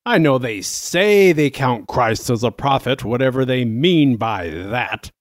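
A man's voice: medium pitch, animated, no room sound, natural voice. Guy as an old zealot cardinal in an audio novel, stern and preachy, takes his time, close dry sound. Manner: deliberate, grave, sermonizing.

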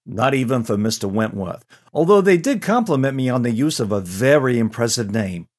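A man's voice: medium pitch, animated, a little fast, a little mellow, pitched below the performer's own voice, lightly acted. A man voicing a laconic, wary transport pilot in an audio story, casual and matter-of-fact. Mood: dry refusal. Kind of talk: audio story character dialogue.

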